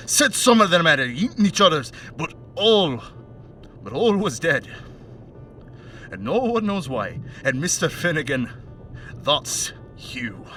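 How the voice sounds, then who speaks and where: A man playing a mocking, menacing crime henchman with a put-on Scottish accent in an audio play monologue, chatty and sardonic with threatening pauses. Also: fairly high pitch, sharp tone, far from the mic. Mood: grave menace. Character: menacing, mocking male crime henchman taunting a bound captive.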